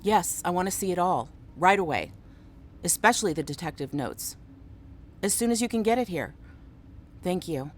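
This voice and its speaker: A woman's voice: fairly low pitch, animated, noisy background, close to an everyday voice. A woman playing a businesslike, authoritative police chief in a radio drama. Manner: brisk, authoritative. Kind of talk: contemporary radio drama acting.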